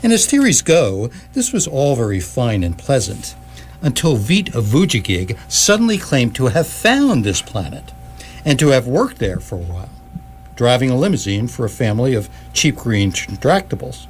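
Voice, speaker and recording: A man playing a knowing guidebook narrator in live radio theatre, with a wry, measured, theatrical delivery. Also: mid-range voice, sing-song, lightly acted. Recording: noisy live stage recording with hum.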